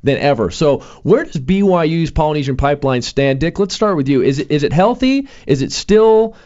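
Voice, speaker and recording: A man's voice: a little high, lively intonation, normal speed, dark tone, dull audio. A young man hosting sports talk, chatting casually with many fillers and restarts. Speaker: sports radio host.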